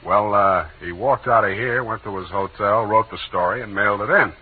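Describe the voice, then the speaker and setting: An actor playing a wry, hard-boiled detective in a deadpan 1940s radio drama style. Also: male voice, on the low side, fairly quick, breathy edge. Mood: casual bluffing. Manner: dry, deadpan wisecracking. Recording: tinny old radio recording, music behind.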